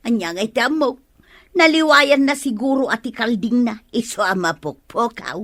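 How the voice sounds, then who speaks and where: A woman's voice: a little high, expressive, moderate pace, fairly bright tone, dry recording, clean studio sound. An actress playing a scolding, easily panicked village woman in an Ilocano radio comedy drama, with an Ilocano accent. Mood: matter-of-fact.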